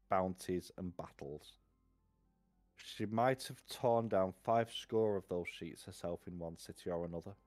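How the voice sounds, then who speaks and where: A man with a low voice reads a fantasy novel aloud for a live stream. He takes his time over the sentences, occasionally tripping on a word and putting it right. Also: a little mellow. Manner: unhurried narration with occasional stumbles and self-corrections.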